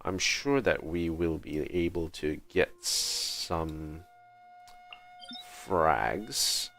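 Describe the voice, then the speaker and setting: A man giving casual live gameplay commentary as a streamer, thinking aloud in halting short phrases. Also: extremely low pitch, a bit bright. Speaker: game livestreamer.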